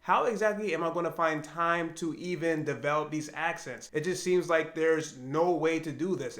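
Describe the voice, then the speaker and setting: An American man speaks in an earnest, reflective way, as if thinking aloud. His voice sits a little high for a man. The room around him carries a noticeable echo. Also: a bit bright. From United States. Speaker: language learning vlogger. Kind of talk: reflective personal vlog.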